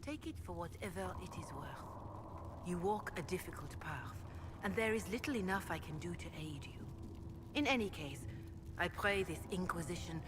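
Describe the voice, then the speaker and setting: A woman playing a wise older holy mother in a fantasy video game, giving measured, formal, gentle counsel. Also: fairly low pitch, lively intonation, background noise, lightly acted. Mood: gentle, grave. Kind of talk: dramatic video game dialogue.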